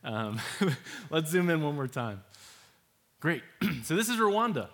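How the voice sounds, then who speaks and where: A man's voice: a little high, takes it slowly. A young man hosting a church talk, walking through maps and photos in an easy manner with many fillers. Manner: relaxed, many fillers, laughs easily. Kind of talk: presenting with slides, casual.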